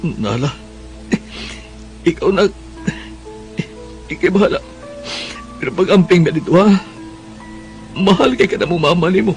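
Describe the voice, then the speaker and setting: A man's voice: sits high, animated, a little slow, long pauses, soft background music. A man playing a tender, sorrowful, regretful father with a Cebuano Bisaya accent in a radio drama. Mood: resigned, loving.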